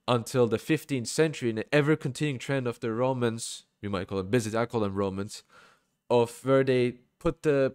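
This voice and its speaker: A man's voice: medium pitch, no echo, studio quality. A man giving informal religious commentary and scripture readings, emphatic, with colloquial asides and rhetorical questions.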